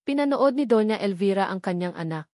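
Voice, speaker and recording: A woman's voice: mid-range voice, animated, fairly quick, dark tone, dry recording, clean studio sound. A woman with a Filipino accent narrating a scripted love drama in a solemn, dramatic way. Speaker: storyteller narrator.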